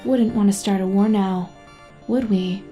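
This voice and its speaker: Actress as female AI storyteller, mellow, measured, composed, audio drama, faint music underneath. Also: medium pitch, steady tone, natural voice. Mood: precise, helpful. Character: Evie, calm female AI companion and storyteller. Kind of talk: audio drama acting and scripted narration. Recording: music behind the voice.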